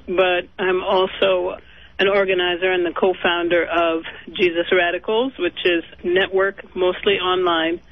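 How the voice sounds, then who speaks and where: A woman from Trinidad, a guest activist, calmly introducing herself in a radio interview over the phone. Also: lowish voice, no echo. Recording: tinny phone line.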